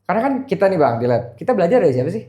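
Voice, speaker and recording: A man's voice: high voice, very animated, very fast, warm tone, reverberant. A man with a Jakarta Indonesian accent talking casually as a podcast host, explaining things in an emphatic, colloquial way.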